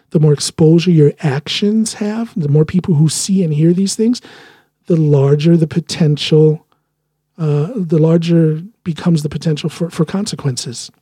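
A man's voice: on the high side, dark tone, breathy edge, no room sound, studio quality. A man hosting a solo podcast, talking earnestly in a loose monologue and often restarting his sentences. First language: English. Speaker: podcast host.

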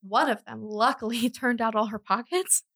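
A woman hosting a true crime podcast, telling the story conversationally with informal, animated asides. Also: a little high, normal speed, no room sound, studio quality.

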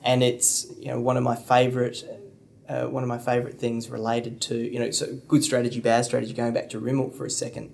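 A man who is a strategy consultant and podcast guest, talking in an animated, conversational way. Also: mid-range voice, fast, creaky. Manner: animated, storytelling with acted quotes. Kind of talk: conversational storytelling.

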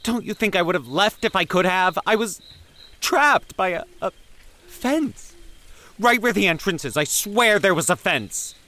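A man's voice: sits high, animated, measured pace, sharp tone, pitched above the performer's own voice, heavily acted. A man voicing a frightened young woman on the edge of hysteria, breathless and pleading. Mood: hysterical.

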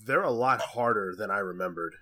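A man's voice: fairly low pitch, brisk, bright, forceful tone. A man co-hosting a podcast, chatting casually and a little hesitantly. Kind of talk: casual chat.

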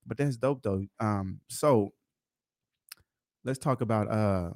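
A man's voice: fairly low pitch, slow pace, a little mellow, no echo, studio quality. A man hosting a casual interview podcast, slangy and teasing, often laughing. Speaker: podcast host.

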